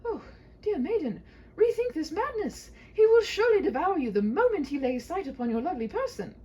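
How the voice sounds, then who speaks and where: Woman as a gallant, lovestruck storybook knight: pompous, declamatory, pitched high with big swoops. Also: big pitch swings, brisk, dark tone. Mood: alarmed, impassioned. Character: gallant, smitten, earnest male knight in comic fairy tale.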